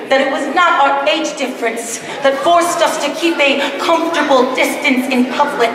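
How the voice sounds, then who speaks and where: A hoarse, raspy-voiced woman performing spoken word poetry on a stage, slow and emphatic, chatting casually with the audience between poems. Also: high voice. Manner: slow, emphatic dramatic delivery with chatty asides to the crowd. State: hoarse, extra raspy today. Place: live stage event in a large hall. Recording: echoey hall, distant mic, audience audible.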